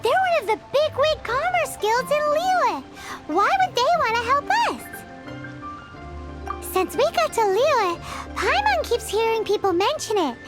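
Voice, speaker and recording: A woman voicing a tiny, childlike, cheeky fairy companion in a video game, blunt and teasing, with a squeaky voice. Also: sing-song, measured pace, full character voice. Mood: puzzled. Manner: speaks of herself in third person, blunt, teasing. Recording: music loud behind the voice.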